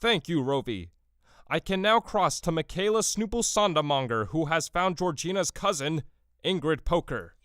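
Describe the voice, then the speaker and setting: A man's voice: on the high side, lively intonation, normal speed, a bit bright, dry recording, clean studio sound. A man playing a pompous, formal internet news anchor in an audio comedy parody. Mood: pompous, solemn.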